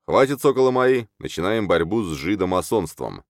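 A man voicing a gruff, bullying, drunken newspaper editor who barks curtly and with authority in a comic audiobook. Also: on the low side, very animated, normal speed, dry recording, studio quality. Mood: barking. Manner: barking, curt, authoritative.

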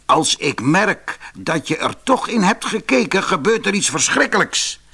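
A man voicing a wise, odd bookbinder in a fairy tale, deliberate and evasive as he instructs. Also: high voice, big pitch swings, vocal fry, sharp tone, pitched above the performer's own voice, exaggerated voice. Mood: stern warning. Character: wise, odd red-bearded bookbinder, cagey and deliberate employer. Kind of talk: fairy-tale audiobook character voice.

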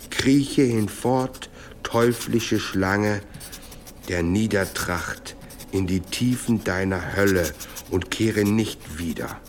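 Medieval cleric for radio play: very slow, bass-leaning, stern ceremonial recitation. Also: male voice, a little hiss or hum, full character voice. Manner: grave, deliberate, ceremonial. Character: solemn medieval cleric dictating an exorcism ritual.

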